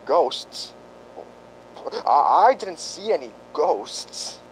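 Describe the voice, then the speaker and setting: A man acts a frail, apologetic doctor in a radio drama. He speaks slowly and haltingly, his fairly high voice rising and falling dramatically. Faint rumble runs underneath. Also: big pitch swings, exaggerated voice, rough recording. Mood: evasive, sorrowful. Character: frail injured male doctor, evasive and apologetic. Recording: faint rumbling background noise.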